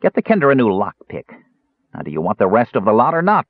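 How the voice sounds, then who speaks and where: Guy doing a cackly old fantasy jailer, sly, whiny, folksy, lively pitch, tinny recording. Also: fast, no room sound, full character voice. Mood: whining, sneering. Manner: folksy, rustic grammar. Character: bony old toothless jailer, cunning, whining, morbid.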